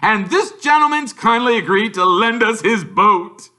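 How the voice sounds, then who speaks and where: Storybook uncle, voiced by a male reader: frantic, bossy, middle-aged man, pitched high, sharp and forceful. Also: expressive, exaggerated voice. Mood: gleeful. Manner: loud, forceful, drawn-out barking orders.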